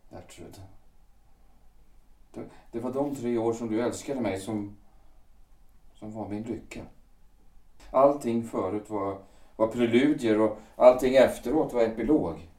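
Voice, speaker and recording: An older man playing a lonely former lover in an audio drama, speaking in a reflective, halting way. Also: on the low side, recorded from a distance, natural voice. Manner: reflective, halting, breathy at the quiet ends of phrases. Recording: roomy echo, a little off-mic.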